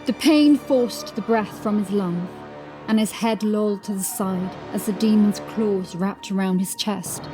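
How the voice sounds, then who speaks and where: A woman reading scripted horror fiction as narrator, in a dramatic, measured storytelling manner. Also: mid-range voice. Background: music.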